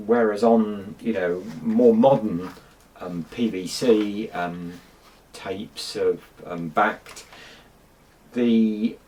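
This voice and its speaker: A man's voice: lowish voice, darkish tone, far from the mic. A middle-aged man, casually talking about old tape recordings, rambling with many ums and restarts. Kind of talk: casual chat to camera.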